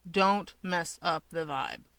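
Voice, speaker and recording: A woman voicing a guarded, irritable young man with a deadpan, sarcastic delivery. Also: lowish voice, slow pace, no echo. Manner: deadpan, snarky.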